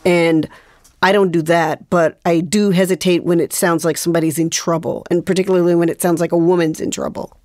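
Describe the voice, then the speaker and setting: Female interview guest, low register, reflective and hesitant, dry recording. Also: little pitch movement, fairly quick, darkish tone, dry recording. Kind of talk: reflective conversation.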